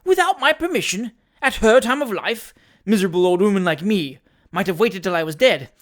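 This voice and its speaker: A woman reading the part of a timorous, indignant old lady in a storybook, shrill and trembling, exclamatory and imperious. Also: very animated, moderate pace. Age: older.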